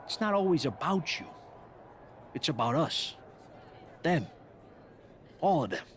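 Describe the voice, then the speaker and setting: An actor playing a smug, cryptic wrestling villain in a video game cutscene. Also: male voice, fairly high pitch, big pitch swings, measured pace, a little hiss or hum.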